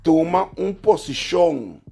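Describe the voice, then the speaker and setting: A man's voice: fairly high pitch, animated, a little slow, creaky, dark tone. A man appearing as a writer and guest on a talk show, arguing his points emphatically. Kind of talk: studio talk show discussion.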